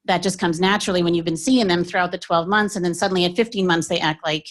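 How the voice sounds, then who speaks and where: A woman's voice: on the low side, fairly even intonation, quick pace. A woman pediatrician in her forties, sharing her experience in a reflective, conversational way at a workshop.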